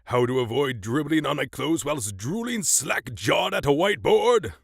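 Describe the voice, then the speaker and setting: A man's voice: on the high side, lively intonation, normal speed, creaky, breathy edge, no echo, clean studio sound, full character voice. A man playing a pompous ten-year-old boy genius and aspiring evil scientist in an audio drama, speaking theatrically in elaborate words. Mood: disdainful.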